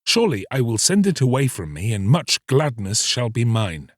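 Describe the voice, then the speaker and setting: A man's voice: medium pitch, sing-song, normal speed, no room sound, studio quality. A man voicing a lovestruck young fisherman in a storybook, pleading earnestly in impassioned, archaic speech. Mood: elated, resolute.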